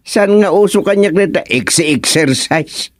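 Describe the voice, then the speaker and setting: A man with an Ilocano accent acting an easygoing, free-spending village councilor in a comedy radio drama, with carefree, drawn-out talk. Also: high voice, dry recording, studio quality. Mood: nonchalant, breezy.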